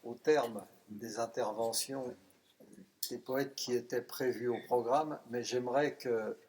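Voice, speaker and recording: A man's voice: mid-range voice, steady tone, slow pace, darkish tone. A man hosting a live reading, introducing the next poet formally and courteously. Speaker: event host. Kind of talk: introducing a performer.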